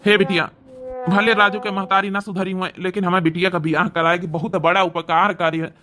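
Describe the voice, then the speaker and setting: A man's voice: sits high, little pitch movement, brisk, over music, natural voice. A man voicing a kindly, sentimental older village father with an Awadhi accent in a cartoon comedy. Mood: grateful. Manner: tender, sentimental, reassuring. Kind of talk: cartoon comedy drama dialogue.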